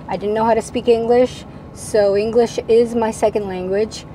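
A middle-aged woman from Venezuela, a native Spanish speaker, answering viewer questions in a casual, measured vlog style. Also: on the high side, rough recording. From Venezuela, moved to the United States at ten. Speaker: vlogger, channel host. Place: parked camper van cab. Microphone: lapel mic. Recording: steady background noise inside a vehicle.

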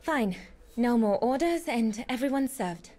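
A polite, earnest young woman pianist in a video game. Her voice is mellow and warm yet pitched a little high, and she speaks in a measured, courteous way. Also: lively intonation. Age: young adult.

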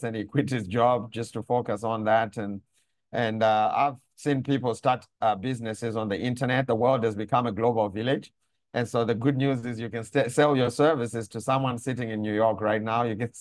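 A middle-aged man from Zimbabwe, a pastor, speaking on a video call in a measured way with many pauses and fillers. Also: lowish voice, no echo. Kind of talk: conversational faith teaching on a video call. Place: room indoors. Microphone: lapel mic on a video call.

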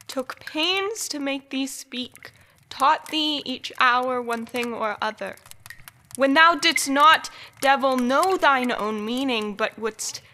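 Woman as scornful young noblewoman, slow emphatic delivery, bright tone, reproachful, radio drama. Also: sits high, exaggerated voice. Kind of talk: Shakespearean radio play acting.

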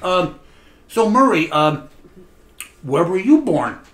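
A man's voice: high voice, big pitch swings, slow pace, fairly bright tone, reverberant. A man interviewing an older couple off camera, encouraging them with frequent short replies and follow-up questions. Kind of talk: oral history interview.

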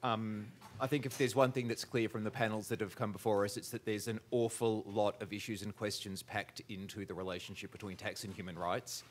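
A man's voice: on the low side, steady tone, fairly quick, hardly any pauses. A man moderating a conference panel in a large hall, with dry, wry humor.

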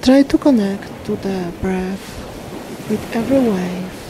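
A woman guiding a meditation in a soothing, unhurried way, speaking in short phrases with long pauses. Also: mid-range voice. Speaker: meditation guide. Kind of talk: slow guided meditation narration. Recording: steady wash of ocean waves and wind noise. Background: ocean waves.

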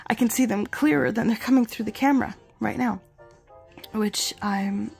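A woman vlogger sick with the flu, her voice gravelly, chatting in a rambling, self-deprecating way while reviewing glasses at home. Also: fairly high pitch, a little mellow. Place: home, indoors and outdoors in yard.

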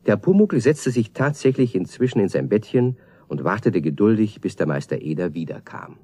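A man's voice: on the low side, animated, moderate pace, dark tone. A man narrating a children's radio play as a scripted storyteller.

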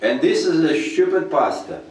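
A man's voice: fairly high pitch, darkish tone. A middle-aged man giving a contemptuous, insulting commentary while reacting to a sermon, filmed at a desk in a room crowded with ornaments. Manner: contemptuous, insulting, slow and deliberate. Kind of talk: scornful commentary. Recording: very echoey room.

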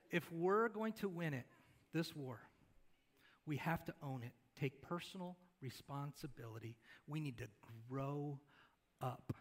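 A middle-aged pastor with a fairly high voice preaches on a church stage. He talks conversationally, tossing questions to the congregation and gesturing as he moves. His voice comes through a headset mic. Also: fairly bright tone. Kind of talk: sermon.

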